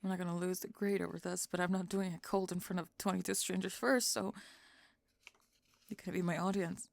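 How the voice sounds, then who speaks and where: A woman acts out a prickly young goth bully in an ASMR romance roleplay. Her low voice crackles with fry, close and dry, halting and sinking into whispers as she guards her feelings. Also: fairly even intonation, no room sound. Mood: bossy, threatening. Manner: halting, breathy sighs, drops into whispers. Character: young goth bully woman, defensive, reluctantly confessing love.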